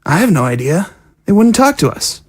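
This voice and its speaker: A woman playing a youthful zombie prisoner, frank and low-key, talking casually in an audio drama. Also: big pitch swings, normal speed, darkish tone, breathy edge. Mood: confiding. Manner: casual, matter-of-fact.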